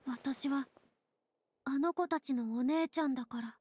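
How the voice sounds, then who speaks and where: High-voiced, theatrical young woman. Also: a little mellow, a little hiss or hum. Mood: solemn, grave. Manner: measured, dramatic, slightly lofty. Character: theatrical young woman, solemn, thoughtful, formally spoken. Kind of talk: Japanese video game voice acting.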